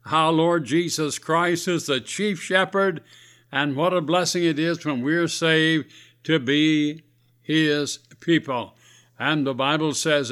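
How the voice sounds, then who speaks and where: A man's voice: on the high side, a bit bright. Male radio preacher, scratchy voice, folksy and earnest. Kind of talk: radio sermon.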